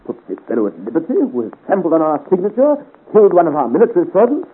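A man's voice: sits high, wildly swooping pitch, fast. An actor playing a proud, imperious French king in a 1950s radio serial, with formal, theatrical delivery. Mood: indignant, incredulous. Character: proud, imperious 17th-century French king, easily scandalised. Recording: scratchy, tinny 1950 radio serial recording.

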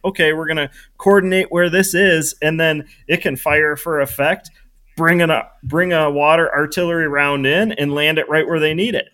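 Male podcast panelist, sharp bright tone, higher register, playful and animated, casual brainstorming. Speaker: podcast panelist pitching an invention. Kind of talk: casual excited brainstorming chat.

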